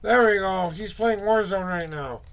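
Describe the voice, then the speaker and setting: A hoarse man hosting a casual tarot livestream, muttering asides to himself and greeting viewers in a loose, chatty way. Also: high-pitched. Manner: upbeat, drawn-out greetings to viewers. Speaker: tarot reader and livestream host.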